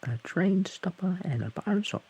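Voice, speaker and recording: A man's voice: sits high, sing-song, moderate pace, a little mellow, breathy edge, voice pushed up, exaggerated voice. A man voicing a shy, awkward, friendly slime creature, stammering hesitantly in an audio roleplay addressed to the listener. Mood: awkward, earnest. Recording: hissy background noise.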